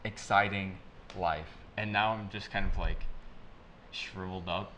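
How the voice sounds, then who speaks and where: A man at a tabletop game, rambling and halting as he describes his character. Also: low-pitched, steady tone, bright, forceful tone, a little hiss or hum. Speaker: player.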